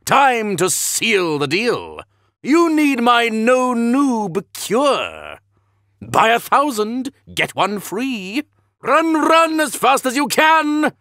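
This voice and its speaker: An actor voicing a shady snake-oil salesman in a game, making a slick, sinister pitch. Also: big pitch swings, drawn-out words, pushed and bright, no room sound, clean studio sound, full character voice. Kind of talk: game character voice lines.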